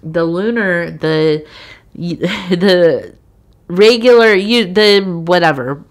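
Slow, low-voiced congested woman. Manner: slow, meandering, with many fillers and soft laughs. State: congested from a cold. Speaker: tea leaf reader. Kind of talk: soft-spoken ASMR tarot-style reading.

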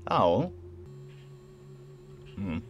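Young guy reading out a game, deep voice, mutters reactions, bit of background noise. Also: sing-song, drawn-out words, dull audio. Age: young adult. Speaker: gamer playing on camera. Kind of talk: let's play, reading game text aloud with casual reactions.

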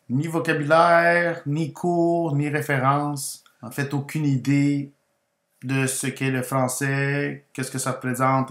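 A man with Spanish as his first language narrates in an educational style, setting out each phrase deliberately. His voice sits at a medium pitch. The recording is roomy, with clear echo around the voice. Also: a bit bright. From Guatemala, moved to Quebec, Canada aged ten. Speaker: language teacher and channel host. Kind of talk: personal story told as a slow educational narration.

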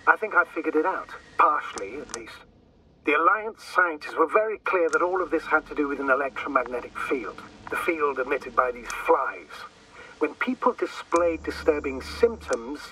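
An actor playing an analytical male mission commander in a space game, speaking formally and deliberately over a radio. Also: on the high side, sharp tone. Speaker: astrogator, superior officer. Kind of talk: dramatic game voice acting. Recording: slightly noisy, distant radio link.

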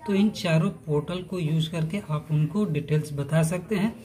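A man's voice: fairly high pitch, moderate pace, warm tone. A man presenting an informal step-by-step tutorial, instructing listeners in a friendly-address style. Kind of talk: informal explanatory tutorial narration.

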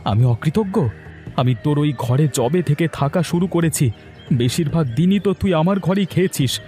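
A man's voice: on the high side, lively intonation, normal speed, mellow, soft background music, natural voice. A man acting a brash, scheming young village son. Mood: angry, defiant. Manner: argumentative, pushy. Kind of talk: cartoon moral-story acting.

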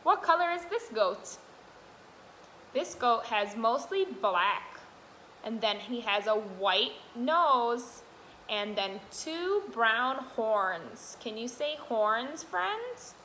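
A woman's voice: a little high, sing-song, very slow, bright, forceful tone. A young adult woman reading aloud and teaching small children in a sing-song way, asking simple questions. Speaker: toddler classroom helper. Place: indoor classroom, sitting on the floor. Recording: roomy echo, faint room noise.

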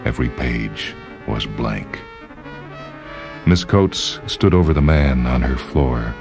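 A male story reader with a remarkably deep bass voice. He delivers scripted literary narration with drama, and music plays softly behind him. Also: expressive, slow.

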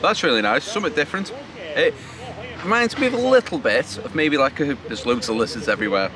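A man's voice: a little high, big pitch swings, fast talker, crowd noise. A man in his thirties from Britain, a travel vlogger chatting casually outdoors. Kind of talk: casual vlog chat. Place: outdoors in a city park. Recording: noisy outdoor location sound.

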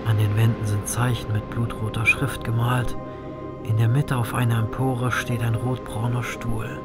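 A man playing a brooding reaper who narrates his horror story in a measured, somber way. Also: medium pitch, level tone, over loud music, close to an everyday voice. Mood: dread. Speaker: first-person narrator. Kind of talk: scripted dramatic narration, German audio drama.

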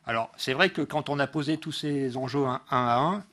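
A man giving a political speech as a local councillor, halting often and correcting himself as he goes. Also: medium pitch, fairly bright tone. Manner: hesitant delivery, frequent pauses and self-corrections. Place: council meeting room.

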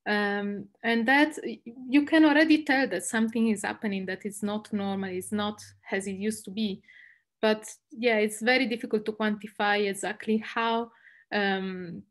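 A woman's voice: mid-range voice, fairly even intonation, moderate pace. A young woman from northern Italy with an Italian accent, explaining as a guest speaker on an online panel. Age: young adult. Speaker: guest speaker, environmental law specialist. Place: indoors, room at home. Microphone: video call, laptop distance.

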